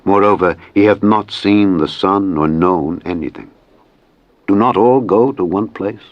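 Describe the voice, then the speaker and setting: A man narrating scripture in a solemn, measured and declamatory reading style. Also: sits low, no room sound, highs cut off.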